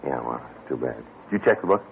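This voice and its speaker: A terse, very deep-voiced police sergeant. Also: male voice, quick pace, vocal fry, full character voice. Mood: dry, patient. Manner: clipped, deadpan and matter-of-fact. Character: terse male police detective sergeant, middle-aged. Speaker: detective narrator. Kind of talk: 1950s radio drama acting. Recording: thin, lo-fi old radio broadcast with hiss.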